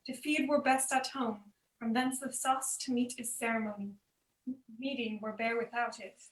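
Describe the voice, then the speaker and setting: A woman's voice: on the high side, steady tone, moderate pace, close to an everyday voice. A young woman playing a commanding, scornful queen in a Shakespearean radio play, with theatrical delivery. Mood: gracious. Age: young adult. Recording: distant, echoey mic with clear noise.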